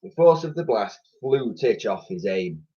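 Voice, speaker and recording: Male teacher narrating a storybook; expressive, a little husky. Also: fairly low pitch, a little slow, dull audio. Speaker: teacher reading aloud. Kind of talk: children's storybook reading.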